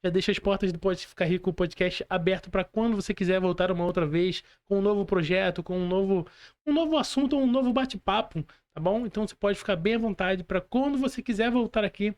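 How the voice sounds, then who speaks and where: A man's voice: high-pitched, fast, darkish tone. A Brazilian man hosting a casual podcast interview, speaking informally with slang and effusive appeals to his audience. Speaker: podcast host.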